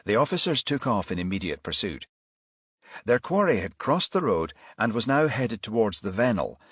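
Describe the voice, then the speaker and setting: A man's voice: on the low side, a bit bright, breathy edge, dry recording, studio quality. A man reading a crime thriller audiobook as scripted narration.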